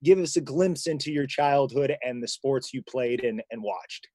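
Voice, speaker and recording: A man's voice: mid-range voice, moderate pace, dark tone, no room sound, clean studio sound. A man from Indiana hosting a casual sports podcast, asking chatty, folksy follow-up questions. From Indiana, USA. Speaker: podcast host.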